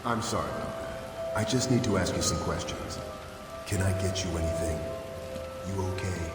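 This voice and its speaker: Man playing a deep-voiced, kindly weary policeman. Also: moderate pace, lightly acted. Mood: sympathetic. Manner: low-key, compassionate. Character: kindly, weary male city police officer. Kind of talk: video game cinematic acting. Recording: echoey, rain and loud music behind.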